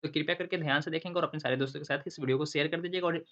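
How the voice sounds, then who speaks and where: A man's voice: a little high, steady tone, very fast, a bit bright. A man teaching an online class as the channel host, walking through a screen tutorial and asking and answering his own questions as he goes. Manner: asks himself questions and repeats the answers like a teacher. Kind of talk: screen-recorded tutorial explaining step by step. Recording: dull lo-fi recording.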